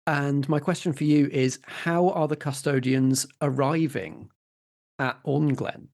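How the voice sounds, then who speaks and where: A man running a tabletop roleplaying game, narrating and posing questions in deliberate storyteller phrasing. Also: fairly high pitch, vocal fry, darkish tone. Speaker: game master and host.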